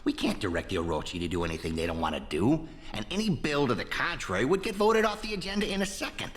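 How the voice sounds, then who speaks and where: An actor playing a vain, foul-mouthed corrupt American politician in a video game, with a pompous, swaggering delivery. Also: male voice, medium pitch, very animated, fast, fairly bright tone. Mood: exasperated, ranting. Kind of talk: video game character acting. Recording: long reverb.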